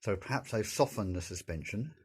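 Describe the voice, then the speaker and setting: An older man with a croaky, sore-throated voice, talking through a motorcycle video at a measured pace from his garage. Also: lowish voice, expressive. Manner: measured, gentle, with frequent pauses and fillers. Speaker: motorcycle vlogger. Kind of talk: casual narrated walkaround. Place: indoor garage.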